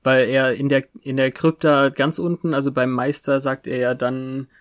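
A podcast co-host chatting casually about a TV series in a halting, spontaneous way. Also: mid-range voice, little pitch movement.

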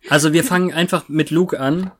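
A podcast co-host chatting casually about a TV series in a halting, spontaneous way. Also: medium pitch, steady tone, a bit bright.

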